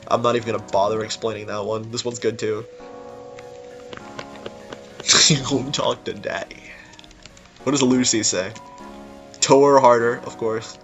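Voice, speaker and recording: A man's voice: medium pitch, fairly even intonation, a little slow, pauses often. A man giving informal, rambling, unscripted commentary on a game level he built. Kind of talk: casual unscripted gameplay commentary. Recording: music behind the voice. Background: game music.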